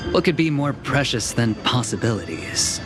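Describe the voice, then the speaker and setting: An actor voicing a cocky, carefree gambler with a playful, teasing manner, in a game scene with loud music behind him. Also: male voice, mid-range voice, animated, moderate pace, lightly acted. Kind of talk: game voice acting.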